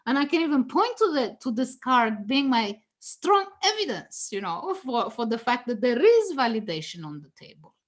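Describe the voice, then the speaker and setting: A woman, Danish native speaker, explaining cards in a relaxed teaching chat. Her voice sits on the high side, and she breaks into laughter often, circling back and restarting with lots of fillers as she goes. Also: moderate pace, few pauses. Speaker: guest tarot reader.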